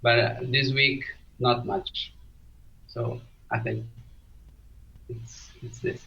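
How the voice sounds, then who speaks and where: A man from Portugal speaking English with a Portuguese accent, giving a halting update in an online meeting. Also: medium pitch, flat delivery, sharp tone, slight background noise. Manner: halting, searching for words, non-native phrasing. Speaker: community meeting participant. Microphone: video call. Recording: dull lo-fi call audio.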